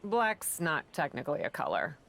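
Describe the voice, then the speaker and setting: A woman's voice: low voice, wildly swooping pitch, normal speed, gravelly, fairly bright tone, exaggerated voice. A woman playing a brutal, defensive female pro wrestler with dry wit in a video game cutscene. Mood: deadpan, dry.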